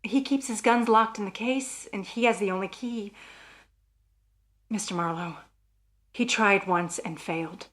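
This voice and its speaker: A woman's voice: mid-range voice, measured pace, pauses often, fairly bright tone, lightly acted. A woman playing an anxious married wife in a radio thriller, speaking earnestly and dramatically, as if fearing for her life. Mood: fearful, earnest. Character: anxious married woman convinced her husband plans murder.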